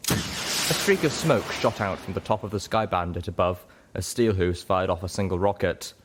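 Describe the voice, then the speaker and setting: Very low-voiced man narrating a story. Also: normal speed, slightly rough. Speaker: audiobook reader.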